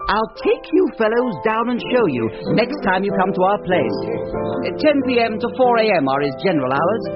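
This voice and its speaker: A plummy young gentleman spins a tale with mock earnestness, a male actor in the part. His voice is high and lively, in period comic radio style. It sounds muffled, with loud music underneath. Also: normal speed. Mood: mock-earnest. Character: chatty upper-class young gentleman telling tall ghost tale.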